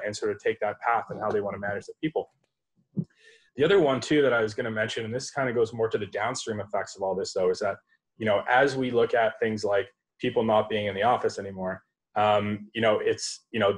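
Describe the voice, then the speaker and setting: A man in his thirties speaks on a laptop video call from home. His voice sits somewhat low and the words tumble out quickly. He thinks aloud as a panelist, restarting sentences and filling gaps with ums. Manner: thoughtful, many fillers and restarts. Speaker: panelist, startup executive. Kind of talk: online panel discussion. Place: home room, indoors. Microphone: laptop webcam video call.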